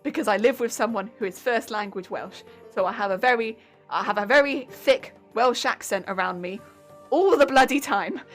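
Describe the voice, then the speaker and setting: A woman's voice: fairly high pitch, normal speed, faint music behind. A young woman from Hampshire with a southern English accent, chatting casually and giggling while she sews on a craft stream. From Hampshire, England. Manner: giggly, rambling, chatty with frequent laughs. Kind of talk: casual live-stream chat while sewing.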